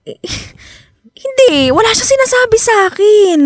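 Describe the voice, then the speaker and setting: A woman acting a moody, hesitant young girlfriend in a radio drama, expressive, with a Filipino accent. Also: very high-pitched, normal speed, no room sound, clean studio sound. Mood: sullen, tense.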